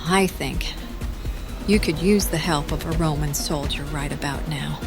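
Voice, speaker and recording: A woman's voice: low-pitched, measured pace. A woman playing a bold, playful, loyal young woman in an audio drama. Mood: dry, confident. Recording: music and crowd behind.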